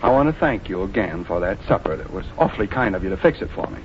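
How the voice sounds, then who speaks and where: An actor playing a young drifter and secret killer in 1940s radio drama style, soft-spoken and controlled, on an old radio recording. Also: male voice, deep voice, expressive, quick pace, muffled recording, slight background noise. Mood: polite, hesitant. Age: young adult.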